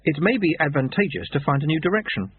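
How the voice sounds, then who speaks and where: A nervous young novice monk, as an audiobook narrator puts him on, his voice pushed well up high and full of shaky, earnest questions. The sound is tinny and phone-like, and the voice is a little husky. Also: sing-song, fast. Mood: bewildered.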